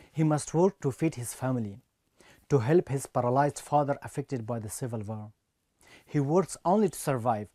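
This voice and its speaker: Young man from Afghanistan, measured prepared speech, mellow tone, dry close sound. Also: medium pitch, no echo. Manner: earnest, measured delivery. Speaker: speech reader.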